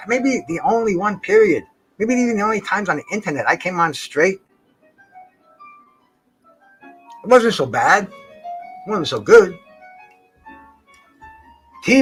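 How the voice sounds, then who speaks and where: High-pitched older man rambling over music. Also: fairly bright tone, rough recording. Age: 60s or older. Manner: slangy, profane, says "man" and "bro" often; halting, repeats and stammers on words. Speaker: live stream host. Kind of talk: rambling casual chat to livestream viewers. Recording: jazz music playing behind the voice. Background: jazz concert recording.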